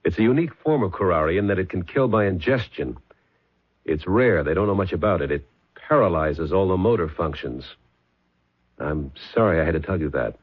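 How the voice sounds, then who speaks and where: Blunt police sergeant, deep voice, animated pitch, businesslike questioning, old radio mystery. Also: male voice, a little fast, husky. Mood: formal, probing. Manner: clipped, probing, businesslike questioning. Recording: dull, muffled vintage radio broadcast.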